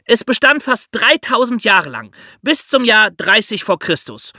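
A man's voice: sits high, very animated, a little slow, sharp tone, no room sound, clean studio sound. A young man co-hosting a podcast, narrating and explaining from a script for young listeners in a precise way. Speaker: podcast co-host. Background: music.

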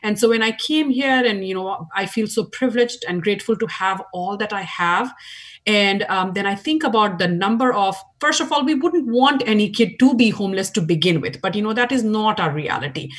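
Husky-voiced Indian-accented woman. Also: medium pitch, animated, brisk. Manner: earnest, heartfelt, with restarts. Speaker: board chair of a nonprofit. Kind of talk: interview guest.

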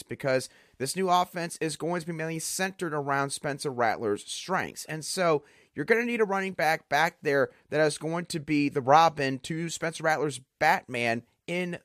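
A hoarse man hosting a solo sports podcast, breaking down players in an emphatic, conversational way. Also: on the high side, fairly bright tone, no room sound, clean studio sound. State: hoarse, under the weather. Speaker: podcast host.

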